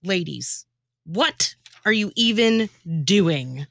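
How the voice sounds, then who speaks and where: A woman's voice: medium pitch, lively intonation, takes it slowly, vocal fry, fairly bright tone, dry recording, studio quality. A woman performing scripted comic radio theatre, narrating in a theatrical storytelling manner. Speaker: former co-host and narrator.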